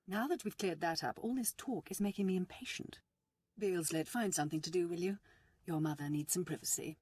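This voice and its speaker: An actress playing a scheming, seductive, imperious middle-aged noblewoman in a sci-fi game, with a silky, husky, commanding delivery. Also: lowish voice, fairly quick, a little mellow, no echo, clean studio sound. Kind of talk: video game voice acting.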